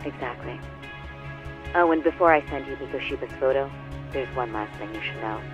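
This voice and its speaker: An earnest lawyer, played by a male actor, speaks in a measured and formal manner, laying things out clearly at a middle pitch. It sounds like a video game cutscene, with music clearly playing behind him. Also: close to an everyday voice, poor audio. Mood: cautioning. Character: earnest male lawyer briefing a detective colleague.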